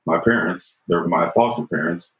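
Deep-voiced man in his fifties, roomy sound. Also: moderate pace, fairly bright tone. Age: 50s. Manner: earnest, reflective, frequent "you know" and "right?". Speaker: guest. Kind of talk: podcast conversation.

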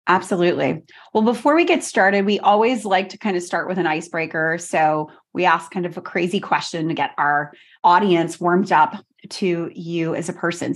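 A woman's voice: on the low side, breathy edge. A woman hosting an interview in a conversational way, full of praise for her guest. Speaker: interview host.

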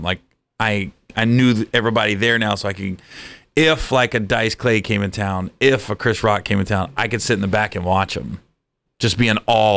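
A man in his forties, a stand-up comedian, telling stories from his career in a casual chat, animated and acting out voices. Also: lowish voice, creaky, clear, resonant tone.

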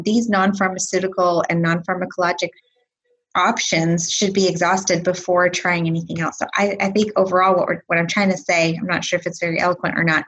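Casting note: woman co-host and pediatrician, low husky voice, careful explainer. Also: fairly bright tone, low-quality recording. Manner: measured, explanatory, hedging. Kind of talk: casual podcast chat.

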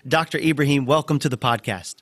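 A man hosting a podcast interview, introducing his guest and asking questions in a warm, friendly way. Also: mid-range voice, quick pace, no echo. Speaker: podcast host.

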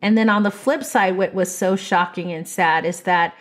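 A woman co-hosting a podcast in casual chat, talking in a bold, expressive, emphatic way. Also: lowish voice, normal speed. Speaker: podcast co-host.

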